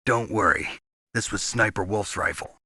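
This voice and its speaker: A gruff, world-weary soldier from a video game, with a low, hard-edged voice. He is husky and terse, speaking with dry skepticism in a very close, dry recording. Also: normal speed, sharp tone, no echo, heavily acted. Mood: curt, calm. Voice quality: gravelly, husky.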